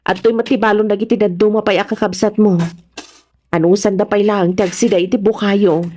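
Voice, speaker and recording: A woman's voice: mid-range voice, fairly even intonation, brisk, darkish tone, slight background noise, natural voice. A woman with a Filipino accent playing a hardworking, worried wife and mother in a radio drama. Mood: caring, instructing.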